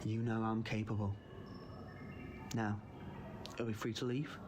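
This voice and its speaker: A man's voice: lowish voice, level tone, a little slow, noisy background, close to an everyday voice. A man with a British accent playing a wry, confident time traveler in a sci-fi audio drama, theatrical and flippant. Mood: grave, defiant. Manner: flippant, theatrical, swinging from playful to grave.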